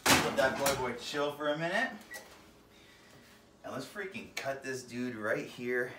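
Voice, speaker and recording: A man's voice: mid-range voice, lively intonation, unhurried, heavy background noise. Jokey bearded man in a roomy kitchen. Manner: jokey, swears, keeps saying "freaking". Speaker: home cooking show host. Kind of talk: goofy cooking demonstration. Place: small home kitchen, indoors. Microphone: camera mic from a few feet away.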